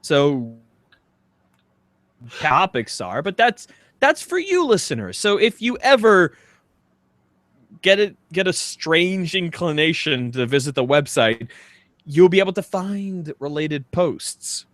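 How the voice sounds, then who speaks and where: A man's voice: on the high side, sing-song, slow pace, long pauses, a bit bright, dry recording. A man co-hosting a podcast, chatting casually and doing mock-dramatic readings in an amused, rambling way. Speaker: podcast co-host.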